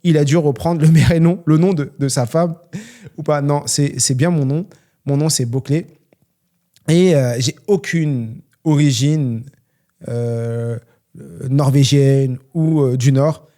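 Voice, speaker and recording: A man's voice: on the high side, slow, dark tone. A young man from France, talking earnestly and motivationally on a solo question-and-answer podcast, with many fillers. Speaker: podcast host and trainer.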